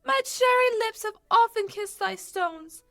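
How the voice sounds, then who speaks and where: Lovestruck young maiden in stage-verse radio comedy; squeaky-high, bright, overdone declamation. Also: female voice, unhurried, vocal fry, heavily acted. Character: Thisbe, gushing young maiden in a clownish play-within-play. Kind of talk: 1940s radio play acting of Shakespeare verse.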